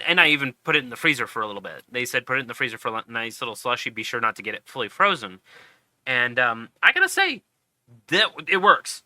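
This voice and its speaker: A man's voice: mid-range voice, pushed and bright, dry recording. A sniffly man hosting a casual podcast, chatty and upbeat. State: sniffly, congested. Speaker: podcast host. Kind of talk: casual chat.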